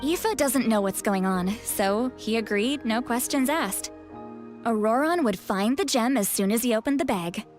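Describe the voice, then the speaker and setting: A high-voiced, self-assured elder mentor of a tribe played by a woman, playful and proud in video game dialogue. Faint music sits behind her. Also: animated, moderate pace, close to an everyday voice. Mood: explaining.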